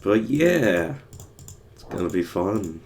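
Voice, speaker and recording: A hoarse young man who is losing his voice, rambling casually with many fillers while he streams a game. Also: deep voice, sing-song, slow, a little mellow, a little hiss or hum. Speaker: livestreamer playing a game. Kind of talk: casual gameplay commentary.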